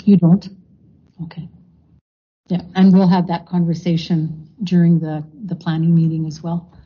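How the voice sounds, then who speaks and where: A woman's voice: fairly low pitch, level tone, normal speed, slightly rough. A woman chairing a formal board meeting, moving through motions with fillers and frequent thanks. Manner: procedural, many fillers and thank-yous. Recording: dull lo-fi meeting recording.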